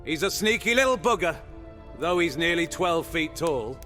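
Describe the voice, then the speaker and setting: A man's voice: a little high, animated, normal speed, sharp tone, faint music behind. A man playing a chatty, zealous medieval monster hunter in a fantasy audio roleplay, with theatrical, old-fashioned phrasing. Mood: chatty, conspiratorial.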